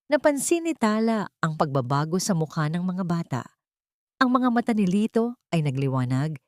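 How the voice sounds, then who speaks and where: A woman narrates a dramatic story in a measured, deliberate way. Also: medium pitch, a little mellow, no room sound, clean studio sound. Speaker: audiobook narrator.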